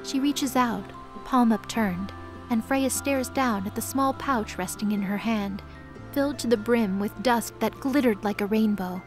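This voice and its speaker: High-voiced woman narrating fantasy. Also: measured pace, faint music behind.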